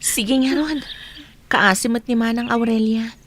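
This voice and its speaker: An actress with an Ilocano accent playing a caring, gently coaxing village friend in a radio drama. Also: female voice, a little high, steady tone, a little slow. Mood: gentle, concerned. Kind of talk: Filipino radio drama acting. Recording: noisy track with background ambience.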